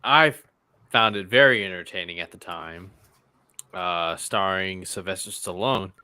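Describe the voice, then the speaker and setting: A man with a fairly low, crisp and bright voice chats casually as a panelist. The sound is clean and dry, with very little room on it. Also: unhurried, dry recording. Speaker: show panelist. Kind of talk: casual chat.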